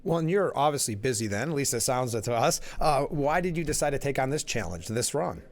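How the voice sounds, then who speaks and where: A man's voice: medium pitch, lively intonation, fairly quick. A man hosting a morning talk radio show, affable and interviewing a guest. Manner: affable, chuckles often. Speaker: radio host.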